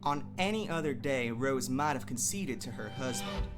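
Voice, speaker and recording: Dramatic story narrator, wry, theatrical, mid pitch, prominent music bed. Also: expressive, moderate pace. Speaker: narrator and author reading own story. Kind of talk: dramatic scripted narration with profanity.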